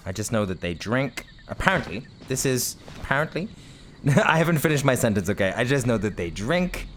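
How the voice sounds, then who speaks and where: A man's voice: mid-range voice, very animated, fairly quick, slight background noise. A man from Britain giving casual, rambling let's-play commentary as he plays, chatty, self-mocking and often laughing. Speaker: gaming video host.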